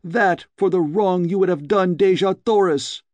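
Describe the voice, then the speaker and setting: A man's voice: high-pitched, extremely slow, warm tone, no echo, voice pushed up. A man voicing a proud immortal goddess, fierce and impassioned, in a storybook reading. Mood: fierce shrieking. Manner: shrieking, impassioned.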